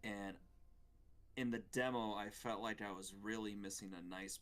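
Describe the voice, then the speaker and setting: Low-pitched man chatting about games. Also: steady tone, normal speed, a bit bright. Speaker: gaming show co-host. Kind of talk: casual review chat.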